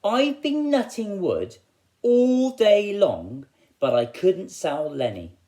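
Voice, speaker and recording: A man voicing an anxious, tender-hearted poor father in a comic fairy tale, with plaintive, drawn-out exclamations. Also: high-pitched, wildly swooping pitch, mellow, pitched above the performer's own voice, heavily acted. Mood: weary complaint.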